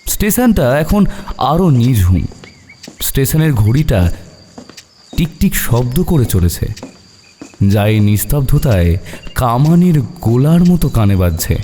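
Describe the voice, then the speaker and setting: A man's voice: mid-range voice, big pitch swings, takes it slowly, long pauses, mellow, slight background noise. A man narrating a scripted horror story with suspenseful, dramatic phrasing. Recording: long reverb over eerie music.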